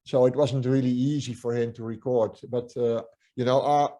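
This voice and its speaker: An older man, a musician guest telling stories in a casual interview, halting with many restarts and fillers. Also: mid-range voice, steady tone, dark tone. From Netherlands.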